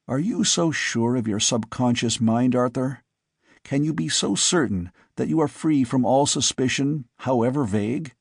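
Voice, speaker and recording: A man voicing a weary, brooding older father who speaks in a soft, tired, probing way. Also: mid-range voice, normal speed, slightly rough, no room sound, studio quality.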